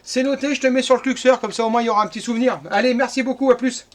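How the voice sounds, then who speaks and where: Guy in his 50s from northern france, pretty high voice, chatty radio ham, repeats himself. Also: steady tone, racing, a little hiss or hum. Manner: chatty, rambling, repeats words. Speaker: amateur CB radio operator. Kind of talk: casual radio contact chat.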